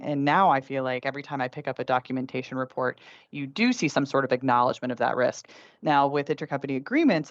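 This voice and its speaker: A woman's voice: rumbling low, dry recording. Woman panelist in dry, slightly muffled audio. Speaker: expert panelist. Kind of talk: conversational expert commentary.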